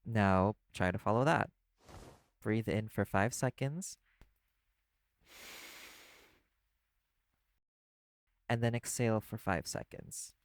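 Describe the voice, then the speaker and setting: Man playing a low-voiced, laid-back vampire companion. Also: measured pace, a little hiss or hum. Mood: calm, soothing. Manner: casual, slangy, gently instructive. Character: laid-back male vampire companion, teasing yet caring. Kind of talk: audio roleplay sleep aid, speaking to the listener.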